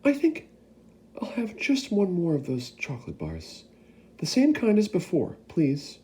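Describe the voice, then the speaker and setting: A male narrator voicing a small, shy boy who asks quietly and politely. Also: high voice, big pitch swings, measured pace, voice pushed up. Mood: timid.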